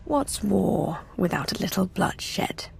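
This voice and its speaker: A woman's voice: medium pitch, animated, slow pace, creaky, a little mellow, slightly rough, whispered, exaggerated voice. A woman playing a cool, ruthless Imperial warrior in a video game, with dry, self-assured, sardonic delivery. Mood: wry, menacing. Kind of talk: scripted game cutscene dialogue.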